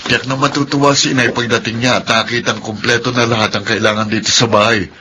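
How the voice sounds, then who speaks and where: An actor as an earnest young eldest brother in a radio drama, responsible and determined. His mid-pitched voice is bright and forceful, with faint noise behind it. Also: steady tone, quick pace, close to an everyday voice. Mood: earnest, resolute.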